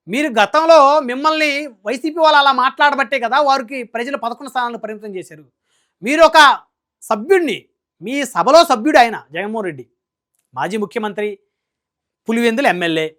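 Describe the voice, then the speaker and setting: A man delivers political news commentary at a rapid clip. He is emphatic and scolding. He often presses his point with rhetorical questions. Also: extremely high pitch, animated, a bit bright.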